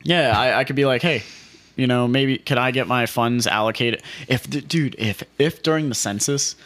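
A man's voice: mid-range voice, normal speed, a bit bright. A man co-hosting a podcast in casual, joking conversation, using slangy, informal speech. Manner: informal slangy speech, says "dude" and "like" often. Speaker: podcast co-host. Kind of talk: casual comedic podcast chat.

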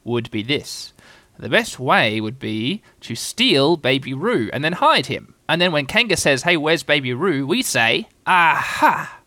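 A man voicing a bossy, brisk, self-important scheming rabbit in a children's storybook. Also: fairly high pitch, sing-song, sharp tone, no echo, voice pushed up, exaggerated voice.

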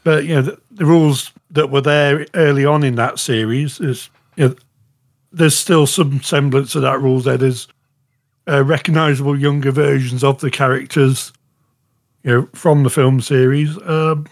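A man's voice: mid-range voice, fairly even intonation. Casual, rambling man on a podcast. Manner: halting, rambling, peppered with "you know". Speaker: podcast panellist reviewing shows. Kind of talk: casual chat.

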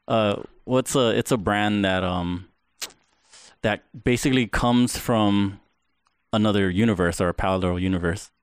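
A laid-back man in his forties from Hawaii, with a light Pidgin accent, talks in a low, smooth voice full of fillers and swearing. The sound is dry and close, with no room around him. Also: measured pace, no echo. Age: 40s. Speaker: guest. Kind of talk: casual podcast chat.

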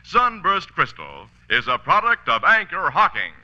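A man's voice: a little high, huge pitch swings, normal speed. A man reading a 1940s radio advertisement as an emphatic, polished commercial announcer. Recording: dull vintage radio with faint music.

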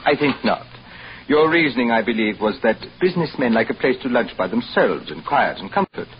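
A pompous, suspicious hotel manager played by a male actor speaks in formal, swooping phrases with deliberate pauses. The vintage radio drama recording is muffled, with faint noise. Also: mid-range voice, very animated, close to an everyday voice. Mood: veiled suspicion. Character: suspicious, condescending male hotel manager, smooth-talking superior. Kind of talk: 1940s radio acting. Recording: dull, faintly noisy old radio recording.

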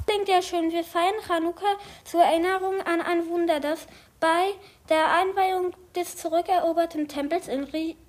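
A woman's voice: extremely high pitch, steady tone, normal speed. A woman playing an eager boy who carefully explains his festival in a children's audio play. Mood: explanatory.

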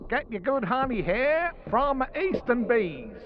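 An actor playing a medieval street vendor in an audio drama, crying wares in a drawn-out sing-song from afar. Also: male voice, extremely high pitch, very animated, muffled recording, exaggerated voice. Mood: hawking.

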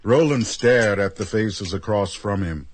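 A man narrating a radio drama as a measured storyteller, reading scripted prose. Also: lowish voice, no echo. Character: measured storyteller of a strange tale.

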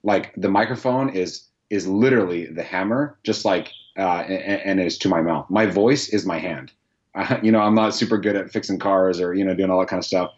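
A man with a deep voice answering interview questions in an earnest, reflective way, thinking aloud. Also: fairly quick, highs cut off. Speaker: podcast host, guest here.

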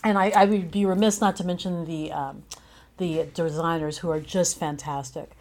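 Female interview guest, low register, conversational, effusive and emphatic in praising collaborators. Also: steady tone, moderate pace, a little mellow. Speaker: guest, playwright and director.

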